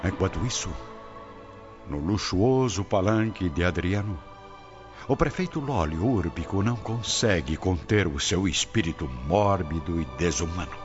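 A Brazilian man giving a grave, measured, dramatic scripted narration for an audio drama. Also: deep voice, expressive. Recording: dull lo-fi audio, loud music behind.

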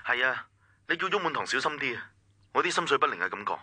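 An actor playing a caring, gently persuasive male confidant in a radio drama, with a Hong Kong Cantonese accent. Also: mid-range voice, expressive, fast talker, no echo. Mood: concerned.

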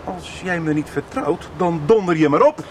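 An actor in a radio soap playing a proud, touchy middle-aged farmer, blunt, sarcastic and defensive. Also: male voice, fairly high pitch, normal speed, slight background noise, close to an everyday voice. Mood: defensive, indignant.

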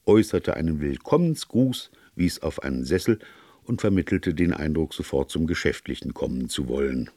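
A man narrating literary prose from a script in a measured, formal manner. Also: deep voice, darkish tone, dry recording, studio quality.

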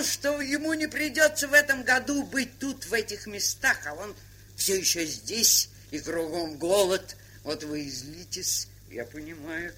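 A woman's voice: medium pitch, pushed and bright. An actress playing a shrewd, sardonic older woman camp trader, lecturing with worldly irony in a theatrical stage style. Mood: sympathetic, needling. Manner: worldly-wise, ironic, lecturing. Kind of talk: theatrical stage drama acting. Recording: hissy 1970s studio drama recording.

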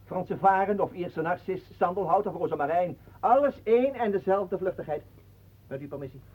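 An actor playing a pompous, obsequious, scheming artist in a radio play, speaking in a mannered, formal way. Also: male voice, high voice, animated, normal speed. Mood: pompous, sly. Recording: muffled, narrow-band old radio recording.

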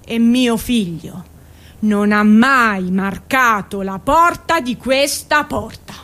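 A woman's voice: on the high side, takes it slowly, fairly bright tone. An actress playing a brash, aggrieved working-class mother in a theatrical radio drama, complaining and pleading in colloquial, insistent repetitions. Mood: indignant, defensive. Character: brash, aggrieved working-class Italian mother defending her arrested son.

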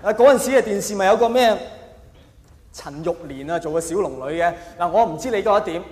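A man with a Hong Kong Cantonese accent performing a mock-earnest stand-up monologue with dramatic pauses and emphatic delivery. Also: sits high, darkish tone. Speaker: stand-up comedian. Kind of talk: stand-up comedy monologue to a live audience. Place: large indoor venue with live audience. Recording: echoey hall with audience noise. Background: audience laughter and crowd noise.

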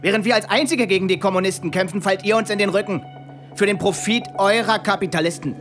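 Casting: wounded, suspicious young Nazi officer, radio play, fairly high voice, faint music. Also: male voice, fast talker, a bit bright. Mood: bitter, accusing. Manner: interrogating, biting sarcasm. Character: suspicious, bitter young Nazi intelligence officer Erik, wounded former friend. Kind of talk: radio drama acting.

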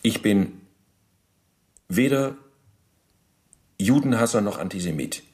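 A man's voice: fairly low pitch, lively intonation, slow pace, darkish tone. A male actor in a German radio play, playing an evasive, self-justifying Nazi bureaucrat under interrogation, speaking in stiff officialese. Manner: officialese, stiff, shifting responsibility.

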